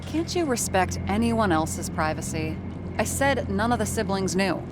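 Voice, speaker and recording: A woman's voice: medium pitch, animated, moderate pace, over loud music, lightly acted. A woman playing a tough, guarded police liaison from the slums in a video game, talking in a blunt, streetwise way. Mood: defensive, blunt. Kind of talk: naturalistic game voice acting.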